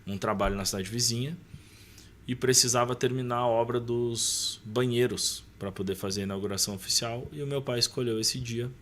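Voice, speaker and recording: A man's voice: medium pitch, fairly even intonation, brisk, fairly bright tone, a little hiss or hum. A man with a southern Brazilian accent casually telling a personal story as a podcast guest, acting out dialogue as he goes.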